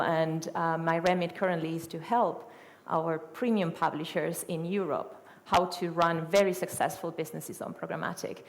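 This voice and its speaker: A middle-aged woman from Argentina with an Argentine Spanish accent, a native Spanish speaker, giving a conference presentation full of fillers, self-corrections and rhetorical questions. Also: fairly low pitch. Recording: reverberant hall.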